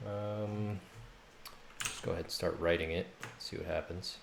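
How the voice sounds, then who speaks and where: Male game developer, halting and gravelly, muttering through live coding in a roomy, noisy space with keyboard clicks. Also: sits low, steady tone. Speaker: game developer, stream host. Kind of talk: thinking aloud while live coding. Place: indoors at a computer. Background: loud noise, typing, mouse clicks.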